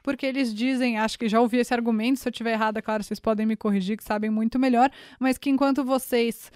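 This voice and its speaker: A woman's voice: on the high side, steady tone, quick pace, darkish tone, no echo. Woman hosting a podcast in a dry, close sound. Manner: friendly, asks questions, gives context. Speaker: podcast host.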